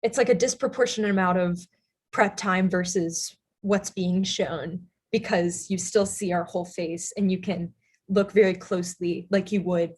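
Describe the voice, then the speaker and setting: Slightly husky woman, conversational. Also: fairly low pitch, a little mellow. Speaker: actor. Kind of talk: casual panel discussion. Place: online video call.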